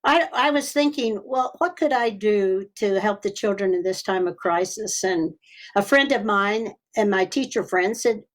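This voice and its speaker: A woman's voice: mid-range voice, normal speed. An older woman, sixties or above, a guest chatting casually in a cheerful, folksy way. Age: 60s or older. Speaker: interview guest, children's story reader and teacher. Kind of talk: casual chat.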